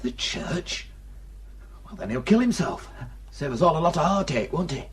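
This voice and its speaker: An actor playing a grumbling, sarcastic village police sergeant with a brusque manner in a radio drama. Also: male voice, on the high side, animated, normal speed, lightly acted. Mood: grumbling, incredulous.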